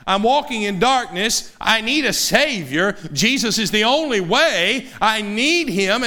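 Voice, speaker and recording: Preacher in his fifties, fervent. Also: high voice. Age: 50s. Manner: swings from loud fervent preaching to quiet personal asides. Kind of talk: sermon.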